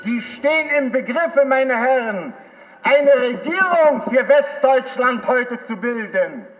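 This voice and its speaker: An actor playing an indignant communist delegate of 1948, declaiming an accusatory parliamentary speech. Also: male voice, extremely high pitch, expressive, slow pace, heavily acted. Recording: dull sound, long hall reverb.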